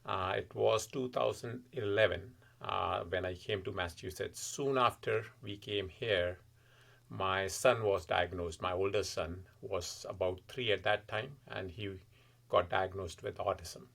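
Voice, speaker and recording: A man in his forties from India, with an Indian accent, a podcast guest recounting his family's story in a measured, reflective way. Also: sits low, vocal fry, a bit bright. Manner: measured, reflective, frequent uh fillers. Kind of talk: personal storytelling in interview.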